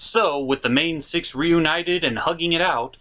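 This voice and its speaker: A man's voice: on the high side, unhurried, bright, forceful tone. A man hosting a comedic film review, giving opinionated and digressive commentary. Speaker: host, puppeteer and film reviewer.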